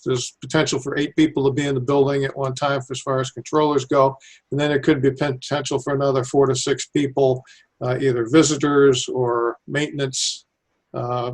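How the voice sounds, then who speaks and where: Man with an even, level delivery. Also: mid-range voice. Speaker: civil engineer, airport designer being interviewed. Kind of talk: explanatory interview answers.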